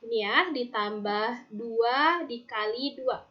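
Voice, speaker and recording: A woman teacher from Indonesia explaining math step by step in a recorded online lesson, patiently slowing down on formulas. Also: a little high, lo-fi sound. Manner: patient, steps through formulas aloud, slows on terms.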